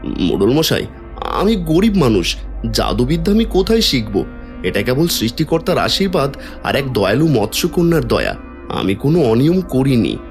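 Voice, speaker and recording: Man playing a humble, expressive poor farmer. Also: medium pitch, normal speed, soft background music. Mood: hurt, pleading. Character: humble, honest poor farmer and devoted father. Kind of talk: cartoon story dubbing.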